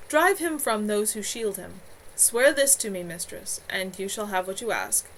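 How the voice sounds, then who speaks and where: A woman voicing a male demon in a storybook, formal and deliberate as it bargains with its summoners. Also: medium pitch, very animated, fairly bright tone. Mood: insistent. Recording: clearly noisy home livestream.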